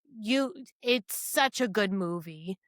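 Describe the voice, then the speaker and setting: A woman's voice: mid-range voice, animated, takes it slowly, dry recording, studio quality. A woman co-hosting a podcast, chatting casually and emphatically, with some swearing. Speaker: podcast co-host. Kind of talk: casual book discussion chat.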